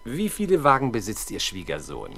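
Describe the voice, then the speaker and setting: A man playing a gruff, sardonic senior police detective in a 1970s German radio crime play, brusque and commanding. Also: on the low side, huge pitch swings, moderate pace, a bit bright, no room sound, full character voice. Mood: probing.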